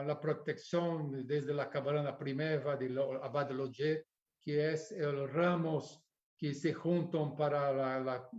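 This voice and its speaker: A man whose first language is Portuguese gives an academic architecture lecture with a Portuguese accent, pausing to search for words and often adding tag questions. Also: a little high, a little mellow. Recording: dull lo-fi recording.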